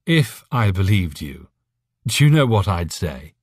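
A man's voice: sits low, sing-song, measured pace, a little mellow, no echo, studio quality, exaggerated voice. A man voicing a drunk, sardonic, cynical male scientist in an audiobook story, rambling and pitying.

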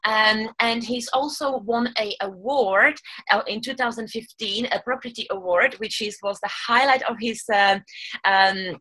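A woman's voice: on the high side, unhurried, sharp tone, poor audio. A woman in her thirties hosting a podcast, upbeat and laughing easily, with hesitant fillers, speaking into a studio mic at home. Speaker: podcast host. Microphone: large studio mic on a boom arm.

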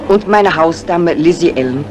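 A woman's voice: on the low side, animated, normal speed, background noise, close to an everyday voice. Self-assured lady crime novelist played by an actress. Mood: narrating, composed. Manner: composed, dismissive, courteous. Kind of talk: 1950s German radio drama acting.

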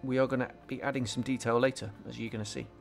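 A man talks through a hobby build in a relaxed, explanatory way, pausing on ums and restarting his sentences as he works. His voice sits at a middle pitch, and soft music plays faintly beneath him. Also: gravelly. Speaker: scale modelling channel host. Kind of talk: relaxed hobby tutorial voice-over.

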